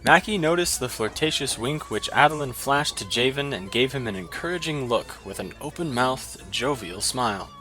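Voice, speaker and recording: A man narrating a fantasy short story in an even, descriptive manner. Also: medium pitch, normal speed, few pauses, soft background music, poor audio.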